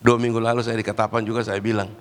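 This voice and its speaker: A man preaching a Pentecostal sermon while fighting a cold and cough, swinging between loud, raised exhortation and low, quiet asides. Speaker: guest preacher. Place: large church hall. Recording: church hall with long reverb.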